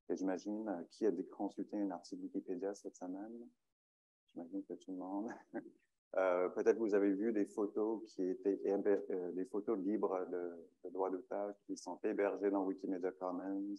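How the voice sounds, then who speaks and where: A man's voice: low voice, mellow. A man from Michigan, a native English speaker, speaking French on a panel, hesitant and searching for words. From Michigan, United States. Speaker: panelist, librarian. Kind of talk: panel talk. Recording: dull, lo-fi sound.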